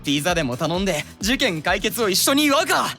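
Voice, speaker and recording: An actor playing a caring, rough-spoken young male investigator's partner in an audio drama, casual, blunt and expressive. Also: high voice, brisk, heavily acted. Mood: upbeat, teasing. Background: music.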